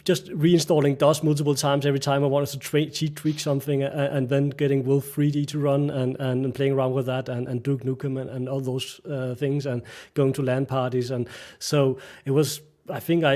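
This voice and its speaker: A man's voice: medium pitch, a little mellow. Danish-accented man on a video call. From Denmark. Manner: halting, many restarts and searches for words, laughs often. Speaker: guest. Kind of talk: casual interview chat. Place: bright home room.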